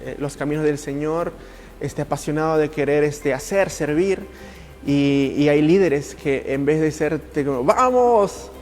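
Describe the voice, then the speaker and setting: Man, from Italy, slightly high voice, passionate, halting delivery, podcast guest giving earnest advice, faint music underneath.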